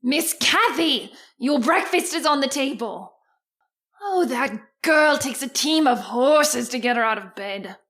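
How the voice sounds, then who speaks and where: A woman playing a fussy, excitable housekeeper with folksy, exclamatory speech in an old-time radio drama. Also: high-pitched, measured pace. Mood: scolding.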